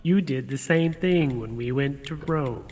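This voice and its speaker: A woman's voice: a bass voice, unhurried, darkish tone. A woman playing a grumpy, sarcastic, suspicious husband in a radio comedy, with a dry, exasperated manner. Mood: dry sarcasm. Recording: reverberant hall, faint background noise.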